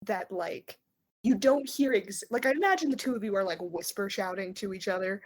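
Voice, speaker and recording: A woman's voice: mid-range voice, brisk, crowd noise. A woman narrating a tabletop game as game master, improvising in informal, hesitant phrasing.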